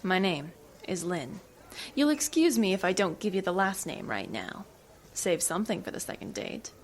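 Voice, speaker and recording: An actress playing a cool, evasive government agent in an audio drama, with a dry, teasing, knowing manner. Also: female voice, fairly low pitch, sing-song, moderate pace, slight background noise. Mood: wry, controlled. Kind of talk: naturalistic audio drama acting.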